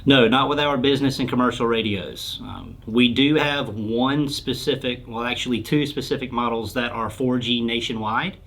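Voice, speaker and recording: A man speaks at a middling pitch in an informal interview. He explains things plainly and practically, as a product specialist answering a customer's basic questions. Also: normal speed, hardly any pauses, a bit bright. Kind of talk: casual explanatory interview talk.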